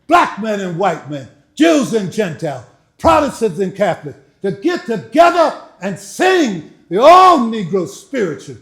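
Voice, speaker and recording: An older man giving a speech to a live audience, first a dramatic reading and then a relaxed chat, laughing. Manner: booming oratory with rising emphasis; chatty, laughing, folksy asides. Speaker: speaker and author. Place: library event hall. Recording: echoey room.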